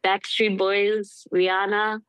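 A young woman from Fiji with a Fijian accent, a singer answering interview questions shyly and haltingly. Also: mid-range voice, little pitch movement, fairly bright tone, clean tone, no echo. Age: young adult. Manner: shy, laughs often, halting. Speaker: singer, guest. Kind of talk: casual interview.

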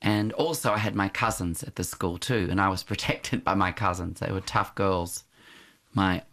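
A man's voice: low-pitched, fairly even intonation, normal speed. Hesitant man telling stories from his childhood. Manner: hesitant, with frequent restarts and soft laughs. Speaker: interview guest. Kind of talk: reflective conversational storytelling.

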